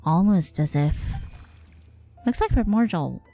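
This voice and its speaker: A woman streams a game with a slightly low voice. She comments casually and informally, slipping between slangy chat and reading on-screen dialogue, with faint music underneath. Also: expressive, measured pace. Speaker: game livestreamer. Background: soft game music.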